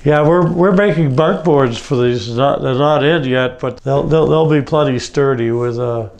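An older man, a boatbuilder, explaining his work on camera in a halting, casual way from inside a boat hull in a workshop, close to the camera. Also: mid-range voice, darkish tone. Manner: halting, stops and restarts, gravelly.

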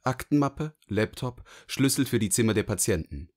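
A man reading scripted narration in a measured way. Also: on the low side, lively intonation, darkish tone.

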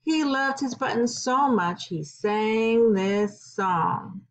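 A middle-aged woman, a kindergarten teacher, reading a story aloud and teaching counting to young children in simple, deliberate phrases with questions. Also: on the high side, expressive, a little hiss or hum.

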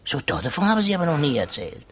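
An actor playing a talkative, gullible middle-aged prison warder in a 1950s radio play, eagerly curious and chatty. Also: male voice, on the high side, sing-song, racing, fairly bright tone.

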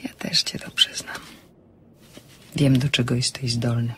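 An actress playing a stubborn, inquisitive amateur sleuth with a wry, ironic way of talking in a crime story. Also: female voice, very deep voice, flat delivery, takes it slowly, a bit bright, in a whisper, exaggerated voice. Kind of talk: dramatized crime novel.

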